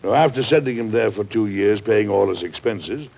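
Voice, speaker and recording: An earnest, gravelly statesman. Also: on the low side, animated, moderate pace, full character voice. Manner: earnest, courteous, formal. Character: overworked, kindly, earnest male American president. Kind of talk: old-time radio drama acting. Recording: dull, noisy old radio recording.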